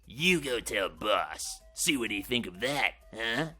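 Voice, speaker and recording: A man's voice: lowish voice, big pitch swings, slow, bright, forceful tone, soft background music, voice pushed up, exaggerated voice. Lumbering male reptile thug, grumpy, very hard-edged tone, clipped broken grammar. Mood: gruff bellowing. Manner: bellowing, broken caveman-like grammar.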